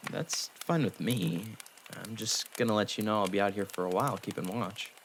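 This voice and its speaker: A man playing a gruff but tender young half-demon in a fan audio drama, with a casual, halting, understated delivery. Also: lowish voice, a little hiss or hum, natural voice. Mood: teasing, calm.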